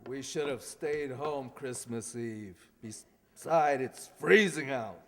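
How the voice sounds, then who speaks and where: A man's voice: mid-range voice, takes it slowly, a little hiss or hum. A man playing a grouchy, blustery middle-aged husband and father in old-time radio comedy style. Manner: grumbling, blustery. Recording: reverberant hall recording.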